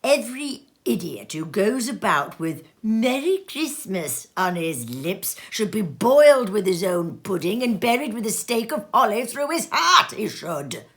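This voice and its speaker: A woman's voice: medium pitch, sing-song, a little slow, hardly any pauses, a bit bright. A woman voicing a bitter, miserly old man who growls scornful outbursts, read at home in a sitting room. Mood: ranting.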